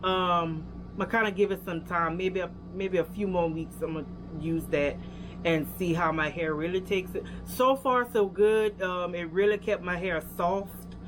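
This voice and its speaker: A woman's voice: fairly low pitch, steady tone, normal speed, music in the background. A young woman with a Louisiana accent, casually reviewing hair products and chatting straight to her viewers with lots of fillers. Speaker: hair care vlogger.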